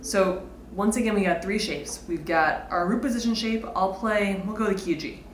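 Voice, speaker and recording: A woman's voice: medium pitch, normal speed. A woman giving an informal guitar lesson, explaining casually and thinking aloud as she goes. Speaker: guitar teacher. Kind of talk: informal instructional talk with demonstrations. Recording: roomy echo, guitar played alongside. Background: electric or acoustic guitar playing.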